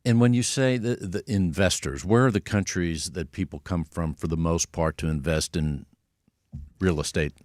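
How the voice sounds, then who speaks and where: A man's voice: sits low, moderate pace, a little mellow, no echo, clean studio sound. A man hosting a radio talk show who introduces his guest and prompts him with friendly, conversational questions.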